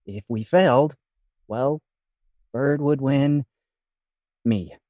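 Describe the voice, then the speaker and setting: A man telling a scripted audio drama story in an ominous, deliberate manner. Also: medium pitch, warm tone, no echo, studio quality. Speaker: podcast host performing in a comic play.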